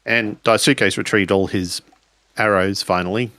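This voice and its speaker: A man improvising descriptive narration as a player in a tabletop game, measured and pausing between phrases. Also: on the low side, fairly even intonation, sharp tone, dry recording.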